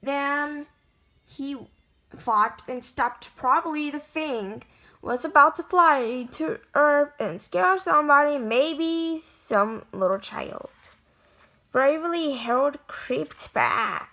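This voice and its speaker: A woman reading a children's picture book aloud in a halting way, stumbling over words as she goes. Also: high-pitched, lively intonation, sharp tone. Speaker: amateur story reader.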